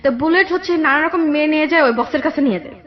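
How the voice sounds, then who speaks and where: A young woman with a Bangladeshi accent telling her personal story in a radio interview, halting and repeating herself. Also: high-pitched. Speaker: guest telling own life story. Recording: dull lo-fi radio audio, background music.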